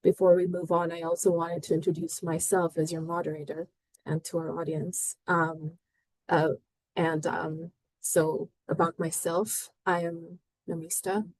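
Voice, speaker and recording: A woman's voice: on the low side, flat delivery, a little slow, a little mellow, no echo, rough recording. A woman from Bangladesh moderating a panel discussion, hesitant and full of fillers.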